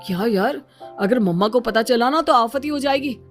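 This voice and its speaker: Woman acting a worried young husband, lowered pitch. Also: moderate pace, soft background music, close to an everyday voice. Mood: shocked, worried. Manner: complaining, anxious. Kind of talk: dramatized moral story.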